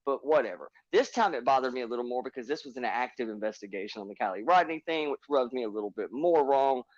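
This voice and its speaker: A man's voice: medium pitch, animated, fast, no room sound. Man ranting hard on a lo-fi stream. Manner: emphatic, profane, lecturing rants. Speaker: livestream panelist. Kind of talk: heated panel chat. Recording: dull lo-fi stream audio.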